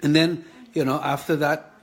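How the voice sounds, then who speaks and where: A man, recalling his childhood in a reflective personal vlog, thinking aloud with many pauses and restarts. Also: fairly high pitch, flat delivery, husky. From Philippines. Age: 50s. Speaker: vlogger sharing his kidney story.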